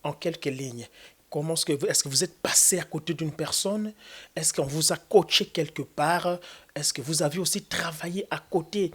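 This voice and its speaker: A Congolese man hosting an interview. He asks long, polite, winding questions, pausing on fillers as he goes. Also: fairly high pitch, slightly rough. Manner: courteous, rambling questions with many hesitations. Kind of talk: formal interview.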